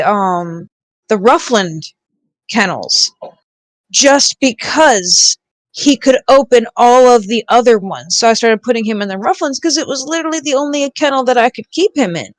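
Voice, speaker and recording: Clear-voiced woman, close and dry. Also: fairly high pitch, moderate pace, no room sound, poor audio. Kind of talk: casual chat, storytelling.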